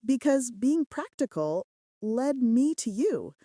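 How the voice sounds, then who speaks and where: A woman's voice: on the high side, sing-song, slow pace, dark tone, no echo, voice pushed up. A woman voicing a practical, affectionate female assistant with dry wit in a romance audiobook. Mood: candid, wistful. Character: practical, wry, loving female assistant to mafia boss.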